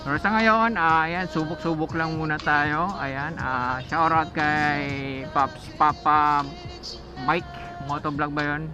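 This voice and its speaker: A man with a Filipino accent chats casually to his viewers, halting often with pauses and fillers, recorded through a motorcycle intercom mic. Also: on the high side, steady tone, muffled recording. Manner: halting, hesitant delivery with frequent pauses and fillers. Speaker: motovlogger. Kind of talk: casual vlog talk to viewers. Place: outdoors on a motorcycle. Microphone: DIY cable from motorcycle intercom to action camera. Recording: band-limited intercom mic, loud music behind. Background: music, vehicle noise.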